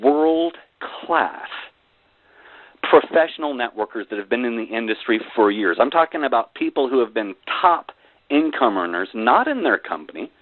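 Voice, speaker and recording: A man giving an emphatic motivational sales pitch, repeating phrases for effect and tossing in folksy rhetorical questions. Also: expressive, moderate pace, no room sound. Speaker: network marketing presenter on a company conference call. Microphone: telephone. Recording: tinny, phone-like conference call line.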